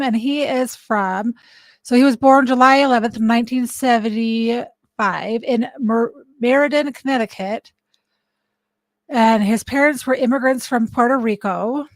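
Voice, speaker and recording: A high-pitched woman hosts a casual livestream and talks things through as she searches for information. She corrects herself often and stops mid-thought. Her voice is close and dry, with no room sound. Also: no room sound. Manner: thinks aloud, stops to look things up, self-correcting. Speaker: livestream host.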